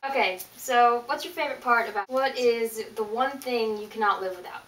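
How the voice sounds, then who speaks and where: Higher-voiced woman interviewer in echoey room. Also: normal speed, a bit bright. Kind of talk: playful mock interview. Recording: roomy, distant mic, faint noise.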